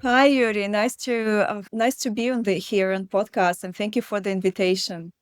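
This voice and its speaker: A woman's voice: medium pitch, fairly even intonation, moderate pace, clear, resonant tone, dry recording, faint music behind. A woman in her thirties from Belarus, speaking as a guest in an interview, talking with frequent fillers and restarts. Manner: hesitant, many fillers and restarts. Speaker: guest investor. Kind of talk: conversational interview.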